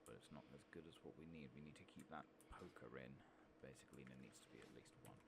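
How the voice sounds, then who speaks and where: A man giving soft-spoken, murmured ASMR commentary as he plays a game, muttering to himself in an unhurried way. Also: rumbling low, creaky, noisy background. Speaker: gaming ASMR video creator.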